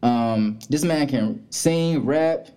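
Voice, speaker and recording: A man from Florida hosting a podcast in a medium-pitched voice. He talks with a hype, drawn-out delivery and stretches his words for effect. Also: warm tone, clear, resonant tone. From Florida, USA. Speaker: podcast host. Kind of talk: casual interview.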